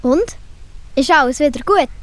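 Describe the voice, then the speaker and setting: A woman's voice: sits high, expressive, moderate pace. A woman voicing a caring, perky young schoolgirl with a Swiss German accent in a children's audio play. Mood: surprised.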